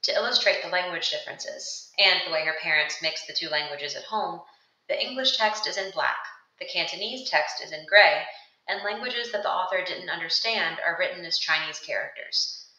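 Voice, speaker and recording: A woman's voice: on the low side, moderate pace, roomy sound, highs cut off. A young adult woman giving a scripted, earnest voice-over that recommends books for a classroom library. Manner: steady, earnest read-aloud delivery. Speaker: student presenting book recommendations. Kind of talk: scripted narration of a video ad. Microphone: voice-over over still book pages.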